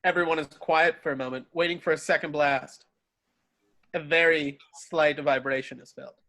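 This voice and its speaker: Bright higher-voiced man narrating a script. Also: steady tone, normal speed, poor audio. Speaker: stage-direction narrator. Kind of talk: scripted narration in an amateur table read.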